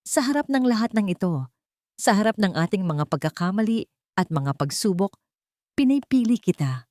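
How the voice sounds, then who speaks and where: A woman's voice: medium pitch, very animated, moderate pace, dark tone, dry recording, studio quality, lightly acted. A woman voicing a tender, devoted young mother and bride making earnest, emotional declarations in a romance drama.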